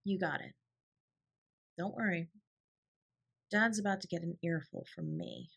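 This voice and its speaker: A woman's voice: on the low side, vocal fry, a bit bright, husky, full character voice. A woman playing a fiercely protective older sibling confronting a parent in an audio drama, scolding and emphatic with a sarcastic edge. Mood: soft, reassuring. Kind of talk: dramatic audio roleplay acting.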